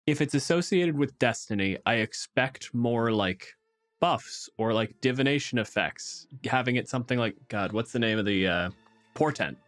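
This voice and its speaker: A man's voice: mid-range voice, lively intonation, normal speed, a bit bright, faint music behind. A man hosting a casual podcast discussion in an informal, wry way. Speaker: podcast host.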